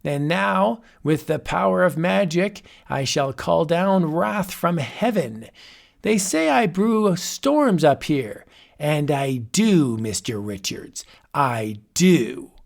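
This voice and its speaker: A man's voice: high voice, expressive, slow pace, creaky, voice pushed up, full character voice. A man doing a raving mad old warlock. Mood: menacing. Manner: ranting, theatrical, rising to shrieks. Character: raving old male warlock, grandiose and unhinged.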